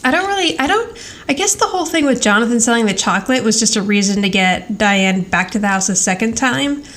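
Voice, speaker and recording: A woman's voice: a little high, lively intonation, fairly quick, fairly bright tone. A woman co-hosting a podcast, recapping scenes in detail in a casual, conversational way. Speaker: podcast co-host. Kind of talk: casual episode recap chat.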